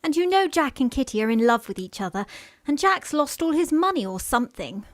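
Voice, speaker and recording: Farce role: woman playing a sweet British girl in love, effusive and imploring, high-pitched with swooping delivery. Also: sing-song, a little fast, no room sound, studio quality. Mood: coaxing. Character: sweet, gushing young Victorian girl in love. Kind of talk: Victorian stage farce, radio play acting.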